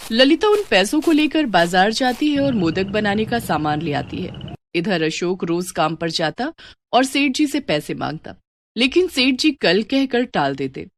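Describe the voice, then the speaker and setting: A woman's voice: medium pitch, animated, a little slow. A woman narrating a scripted story as its storyteller.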